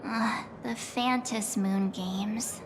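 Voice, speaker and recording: High-pitched, perky teenage girl adventurer. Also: noisy background. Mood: dismayed. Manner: informal, expressive, hesitant pauses. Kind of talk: scripted video game dialogue, anime style.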